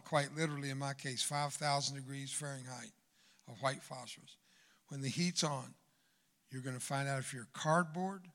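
An older man preaching a sermon in church, swinging from loud exhortation to hushed asides. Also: mid-range voice. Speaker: guest preacher. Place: church sanctuary.

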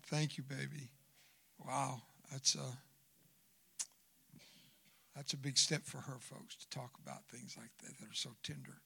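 A man's voice: on the high side, vocal fry, fairly bright tone, breathy edge. A man in his seventies preaching in a church, folksy and joking with the crowd, who grew up speaking Spanish first. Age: 70s. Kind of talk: sermon. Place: church sanctuary.

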